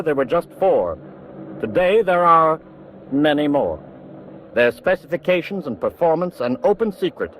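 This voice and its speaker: An actor playing a precise, authoritative announcer in a wartime documentary radio drama. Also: male voice, a little high, fairly bright tone, slight background noise, low-quality recording. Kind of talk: formal documentary radio narration.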